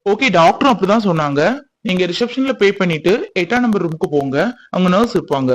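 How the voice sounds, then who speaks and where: A man's voice: high-pitched, animated, fast talker, very noisy. A man playing a helpful young doctor in a family drama, polite, sprinkling in English words, with a southern Tamil Nadu accent. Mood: earnest. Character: apologetic, helpful young doctor among worried relatives.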